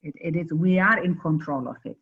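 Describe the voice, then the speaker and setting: Husky-voiced woman host, lo-fi sound. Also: deep voice. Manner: measured, advising, some restarts. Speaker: interview host. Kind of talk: career advice interview.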